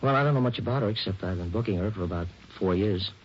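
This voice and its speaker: An actor playing a folksy, breezy showbiz booking agent in a 1940s radio drama, talking in clipped slang. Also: male voice, on the low side, quick pace, slight background noise, close to an everyday voice. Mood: breezy, casual. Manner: slangy, informal, clipped phrases. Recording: muffled vintage 1940s radio recording.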